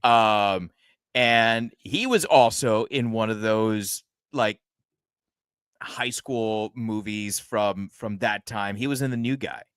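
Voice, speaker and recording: A man co-hosting a horror movie podcast, chatting in an unhurried way that keeps tipping into excitement, with laughter and the odd curse. His delivery is slow and drawn out. The sound is dry and close to the mic. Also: lowish voice, smooth, no echo. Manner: excitable, laughs often, swears when worked up. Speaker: podcast co-host. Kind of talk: casual chat about a horror movie.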